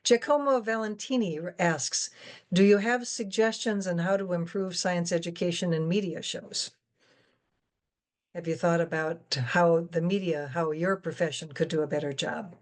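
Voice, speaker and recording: An older American woman moderating a discussion in a measured, articulate way with fairly formal wording. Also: lowish voice. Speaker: guest moderator.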